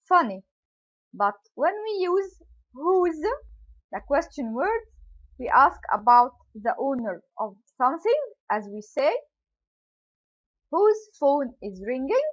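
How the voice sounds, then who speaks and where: Slow, lively-pitched woman teacher. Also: lo-fi sound. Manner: slow, careful explaining with example questions and answers. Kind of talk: English grammar lesson.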